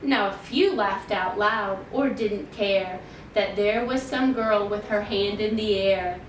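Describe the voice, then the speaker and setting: Roomy, slow-paced woman reading a children's story. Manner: measured, deliberate storytelling for young children. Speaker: children's library storytime reader. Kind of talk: expressive picture-book read-aloud in rhyme. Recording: roomy, distant mic, faint noise and rumble.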